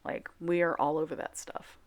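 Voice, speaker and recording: Casting note: low-pitched woman, casual self-deprecating vlogger narrating her craft footage. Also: flat delivery, moderate pace, in a whisper. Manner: chatty, self-mocking, lots of fillers. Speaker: soap making vlogger. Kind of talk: casual voice-over commentary.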